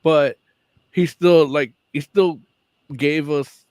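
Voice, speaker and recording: A man co-hosting a casual podcast, talking in a relaxed, halting way with many fillers. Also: on the high side, clear, resonant tone, no room sound. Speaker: podcast co-host. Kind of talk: casual chat.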